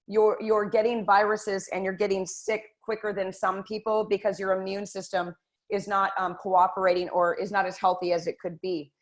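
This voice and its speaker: A woman's voice: medium pitch, level tone, normal speed. A woman hosting a casual health talk to her viewers from her computer, earnest and direct, often calling them "you guys". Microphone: computer microphone.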